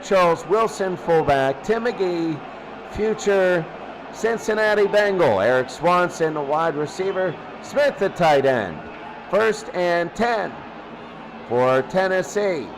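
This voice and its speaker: High-pitched man calling football, unhurried, over music. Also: noisy background. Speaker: play-by-play announcer. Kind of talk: amateur football play-by-play commentary.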